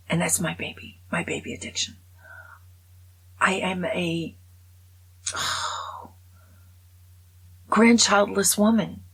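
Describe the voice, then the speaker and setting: A woman's voice: lowish voice, creaky, slight background noise, low-quality recording. A woman in her seventies chatting casually to camera, rambling and poking fun at herself. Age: 70s. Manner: chatty, rambling, self-mocking humor. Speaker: vlogger.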